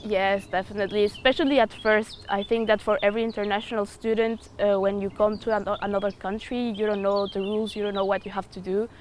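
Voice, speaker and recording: A woman's voice: mid-range voice, steady tone, quick pace, few pauses, soft background music. Woman in her twenties, hesitant. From Ecuador. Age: 20s. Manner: thoughtful, hesitant with frequent fillers. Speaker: student. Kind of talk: interview answers.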